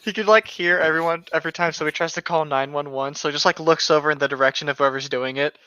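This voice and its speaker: A mid-pitched, forceful voice reads aloud in a casual, joking style. The speaker is irreverent and keeps drifting into riffs and asides. The recording is dry and a little muffled. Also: fairly even intonation, racing, clean tone, dry recording. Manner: irreverent, riffing, breaks off into asides. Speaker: co-host of a creepypasta read-along.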